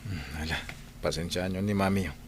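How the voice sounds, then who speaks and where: A male actor plays a patient, hardworking family man, a middle-aged driver with an Ilocano accent. His voice sits fairly low, acted in radio drama style over faint noise and ambience. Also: fairly quick, lightly acted. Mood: gentle, fatherly. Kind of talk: Filipino radio drama acting.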